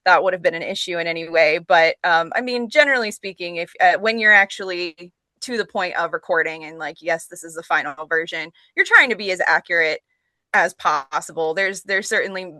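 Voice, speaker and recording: Bright-voiced woman, close dry sound. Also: fairly low pitch, fairly quick, dry recording, rough recording. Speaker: actress and interview guest. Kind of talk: casual interview chat.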